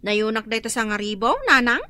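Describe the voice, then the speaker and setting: A woman's voice: a little high, fairly even intonation, brisk, sharp tone. A woman playing a fretful, generous adult daughter in an Ilocano comedy radio drama, speaking emotively with an Ilocano accent. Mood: placating.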